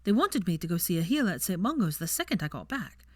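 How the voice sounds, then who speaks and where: A woman's voice: on the low side, very animated, fast talker, a little mellow, no room sound, clean studio sound. A woman voicing an earnest, bookish young witch in an audiobook, with an airy, polite manner. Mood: mildly exasperated. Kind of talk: audiobook character voice.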